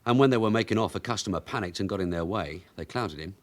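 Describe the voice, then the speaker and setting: An actor playing a shrewd veteran detective sergeant with an English accent, brisk and wry, in a radio drama. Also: male voice, sits low, natural voice. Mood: businesslike. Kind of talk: British radio drama acting.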